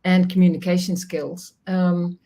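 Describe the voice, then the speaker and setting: Dutch-native middle-aged woman guest, lower voice, thoughtful, laughs a lot, video call. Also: fairly even intonation, measured pace, mellow. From Netherlands. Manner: reflective, laughs often, many restarts. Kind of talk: casual interview chat.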